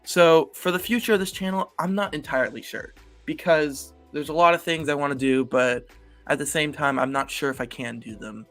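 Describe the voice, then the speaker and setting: A man's voice: fairly high pitch, moderate pace, a bit bright, faint music behind. Rambling eighteen-year-old guy vlogging. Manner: rambling, many fillers and restarts. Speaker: YouTuber. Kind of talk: casual solo vlog talk.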